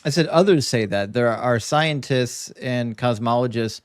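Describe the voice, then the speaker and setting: A man's voice: medium pitch, unhurried, clean tone, no echo, clean studio sound. A man moderating a live online debate as host, firm and direct with his questions.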